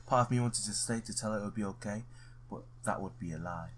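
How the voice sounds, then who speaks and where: Low-voiced man reading a game aloud. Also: quick pace, slight background noise. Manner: stumbles over read text, blurts excited reactions. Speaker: gamer playing and reading a visual novel. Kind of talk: let's play, reading game text aloud with reactions.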